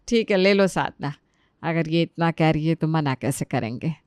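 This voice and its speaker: A woman's voice: deep voice, takes it slowly, a little mellow, clean tone, no room sound, clean studio sound, pitched below the performer's own voice. A woman voicing a wry, helpful housewife and mother-in-law in a cartoon story, speaking expressively. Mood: warm, obliging. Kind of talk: Hindi cartoon story character voice.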